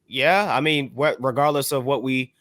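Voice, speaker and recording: A man's voice: medium pitch, normal speed, fairly bright tone, no echo. Male panelist, mid-range voice, casual discussion style, close dry recording. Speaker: panelist on a hip hop discussion show.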